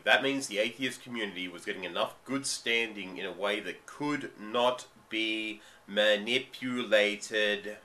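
A man's voice: fairly low pitch, bright, forceful tone. An Australian man in his thirties, getting over a cold, giving an emphatic ranting commentary to a webcam in a dim room at home. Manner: ranting, emphatic, drawn-out stresses. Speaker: vlogger. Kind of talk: commentary monologue.

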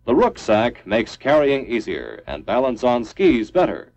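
A man's voice: medium pitch, huge pitch swings, measured pace, a little mellow. A man reading a scripted 1950s military training film narration in a formal, clipped, instructional manner. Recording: dull lo-fi 1950s film soundtrack.

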